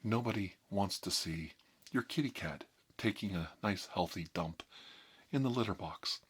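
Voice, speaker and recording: A man presenting a tongue-in-cheek ASMR tutorial as a mock doctor, speaking slowly and deliberately with a deadpan delivery. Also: sits low, slightly rough. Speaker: ASMR video host.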